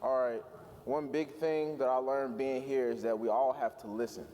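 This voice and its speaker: A man's voice: mid-range voice, normal speed, a little hiss or hum. A nineteen-year-old man performing spoken word poetry on stage at a conference and hyping up the crowd. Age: young adult. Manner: energetic crowd hype, rhythmic delivery. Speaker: youth spoken word poet. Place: large event hall, live audience. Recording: echoey hall reverb.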